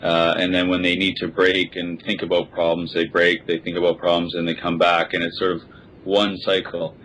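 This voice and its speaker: A man with a low, very even voice explains as a podcast guest. A faint rumble sits behind him. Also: normal speed, rough recording. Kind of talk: explanatory discussion.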